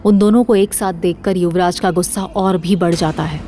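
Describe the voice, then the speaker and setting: A woman's voice: on the low side, steady tone, normal speed, warm tone, faint music behind. A woman narrating a scripted dramatic story as the narrator of an audio series.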